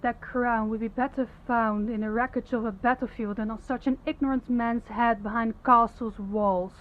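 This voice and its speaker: A fairly high female voice for a proud young princess, acted by a woman. She speaks formally and with authority, principled and ready to defy. It is audio roleplay with a little noise behind the voice. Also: fairly even intonation, narrow, tinny audio, lightly acted. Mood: indignant, scornful. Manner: formal, commanding, measured diction. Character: young princess, stern, authoritative, compassionate, defiant.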